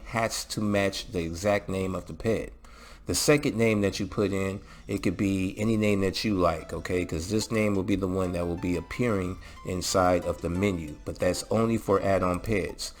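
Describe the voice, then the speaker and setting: A man narrating a casual step-by-step game tutorial, instructing and often checking in with the listener. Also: sits low. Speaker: host of a game mod tutorial.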